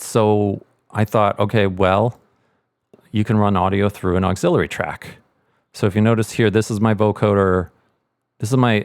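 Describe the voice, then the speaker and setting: Male sound designer, low register, informal walkthrough style, thinking aloud on a dry, close mic. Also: dry recording. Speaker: sound designer giving a tutorial. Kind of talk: casual screen tutorial.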